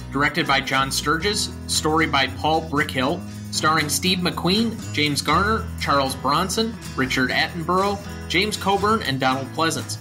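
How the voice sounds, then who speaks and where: A young man hosting a podcast, talking about films in earnest, explanatory chat and reading out a brisk closing script. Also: on the high side. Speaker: podcast host.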